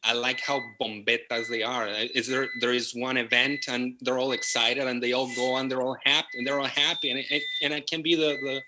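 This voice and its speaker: A man from Argentina, a native Spanish speaker with an Argentine accent, giving unhurried, reflective interview answers over a video call, often restarting his sentences. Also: medium pitch, little pitch movement, noisy background. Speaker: guest, property management partner. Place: home in the jungle.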